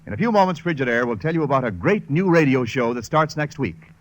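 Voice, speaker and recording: A man's voice: medium pitch, expressive, quick pace, very noisy. A man announcing for a vintage radio show and reading sponsor copy in a polished, emphatic sales style.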